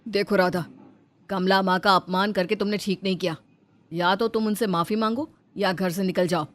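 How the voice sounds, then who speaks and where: A man's voice: high-pitched, fairly even intonation, moderate pace, a bit bright. A man voicing a young husband in a family story, earnest and reproachful in defence of his nanny.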